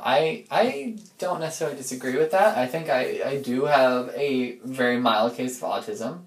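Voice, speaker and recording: A man speaking in a casual, rambling personal audio diary, reflective and informal, often feeling his way through a thought. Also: medium pitch. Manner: informal, reflective, loses and regains his thread. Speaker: personal audio log diarist. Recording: echoey room.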